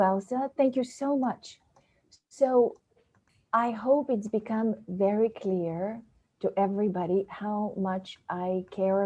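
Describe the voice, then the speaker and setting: Dark, husky woman on a webinar. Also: mid-range voice, muffled recording. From Bulgaria. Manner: earnest, practical, encouraging. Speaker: guest speaker, essay coach. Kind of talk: webinar talk. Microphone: video call.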